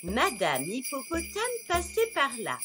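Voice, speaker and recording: High, lively woman storyteller. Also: measured pace. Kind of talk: expressive children's story narration.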